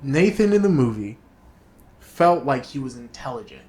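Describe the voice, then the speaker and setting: A man co-hosting a casual chat show, talking in a slow, laid-back way and often trailing off to restart his sentences. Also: mid-range voice, very animated, darkish tone. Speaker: podcast co-host. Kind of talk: casual chat reviewing a movie.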